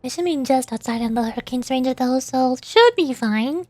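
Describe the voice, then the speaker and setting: A woman's voice: high voice, moderate pace, dark tone, no room sound. A woman streaming as a VTuber, chatting casually in a playful, rambling way during a game livestream.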